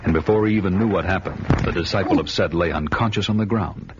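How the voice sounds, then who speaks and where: An actor playing a vengeful barbarian warrior, narrating his quest in a grim, measured way. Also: low-pitched, background noise, lightly acted. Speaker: narrator and hero. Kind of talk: first-person radio drama narration. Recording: dull lo-fi audio with music behind.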